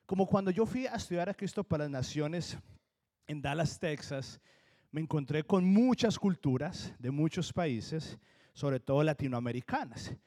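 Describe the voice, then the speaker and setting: A man from Colombia with a Colombian accent, preaching a sermon in an earnest, emphatic way and slowing down to stress key words. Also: fairly high pitch, expressive, mellow. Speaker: pastor.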